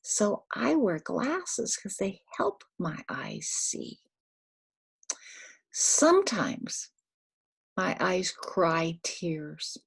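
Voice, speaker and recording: An older woman reads a picture book aloud to toddlers, speaking simply with questions and little instructions, in a breathy, husky voice. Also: on the low side, huge pitch swings, extremely slow, long pauses. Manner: simple, instructive, asking the child questions and giving prompts. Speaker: storyteller for young children.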